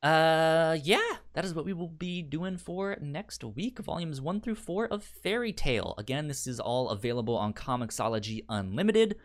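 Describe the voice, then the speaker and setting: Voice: medium pitch, lively intonation, normal speed, few pauses. A podcast co-host chatting casually and recapping shows, often stammering and repeating words and syllables. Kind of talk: casual chat, pitching and recapping anime.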